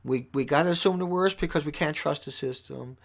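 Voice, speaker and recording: Male livestream host, fairly high squeaky voice with heavy fry. Impassioned, unscripted monologue, urgent and repetitive for emphasis. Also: fairly quick. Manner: urgent, emphatic, repeating phrases for stress.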